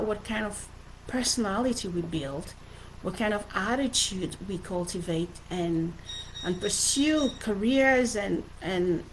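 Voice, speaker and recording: A woman's voice: medium pitch, a little hiss or hum, poor audio. A middle-aged woman with a Cameroonian accent gives an informal motivational livestream talk as an author, speaking earnestly and emphatically and often repeating phrases. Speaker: author presenting her book.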